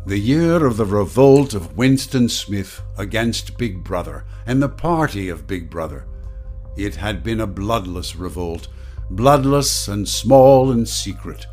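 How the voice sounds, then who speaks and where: A man playing a grave narrator and relentless inner voice in a radio drama, solemn and deliberate. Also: mid-range voice, darkish tone, natural voice.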